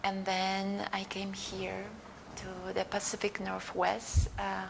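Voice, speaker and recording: Woman, unhurried, creaky fry, thoughtful, searching for words. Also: medium pitch, monotone, noisy background. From Poland. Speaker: guest artist. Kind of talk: interview answers. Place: museum gallery.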